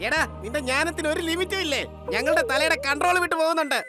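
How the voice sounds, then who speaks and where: Actor doing a bossy little cartoon tomcat, super high voice, talks fast, mocking. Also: close to an everyday voice. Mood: scolding. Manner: scolding, mocking, teasing. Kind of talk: animated cartoon dubbing. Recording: loud cartoon music behind.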